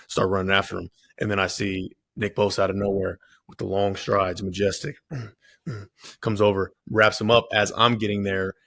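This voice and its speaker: A man's voice: fairly low pitch, flat delivery, normal speed, creaky, bright, forceful tone, no room sound, studio quality. A man aged twenty-seven co-hosting a casual podcast, playful and teasing. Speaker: podcast co-host, football player. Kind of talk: casual chat.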